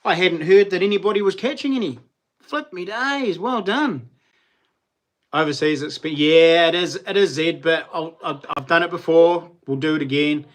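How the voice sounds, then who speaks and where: Chatty New Zealand man, slightly high voice. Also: moderate pace. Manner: chatty, rambling, laughs often, slangy. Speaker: livestream host. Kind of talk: casual livestream chat with viewers.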